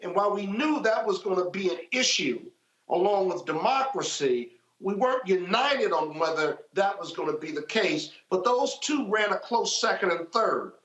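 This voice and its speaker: A man's voice: high-pitched, expressive, moderate pace, fairly bright tone. An American man giving emphatic political commentary, building his points through repetition. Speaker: political panelist. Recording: roomy, slightly dull audio.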